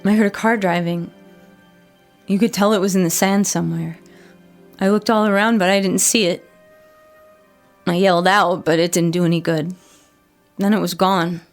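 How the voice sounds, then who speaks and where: A woman's voice: fairly low pitch, fairly even intonation, normal speed, long pauses, soft background music, natural voice. An actress playing a troubled, remorseful woman who confesses in a plain, earnest way in a horror audio drama. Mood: frightened confession. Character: troubled, remorseful woman struggling with addiction, pleading to be believed. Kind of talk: first-person horror audio drama monologue.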